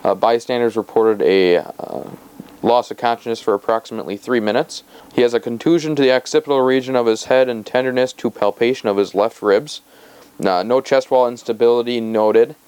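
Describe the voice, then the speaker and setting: A man's voice: mid-range voice, a little fast, a little mellow, clean tone, no echo. A man speaking as a paramedic, giving a matter-of-fact, methodical clinical patient report.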